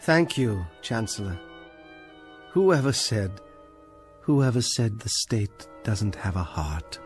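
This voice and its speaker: An actor playing a mild, bookish librarian in a radio drama, courteous and deliberate, with a wry, quiet defiance. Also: male voice, fairly low pitch, sing-song, over music. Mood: wry, composed. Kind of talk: dramatic radio play acting.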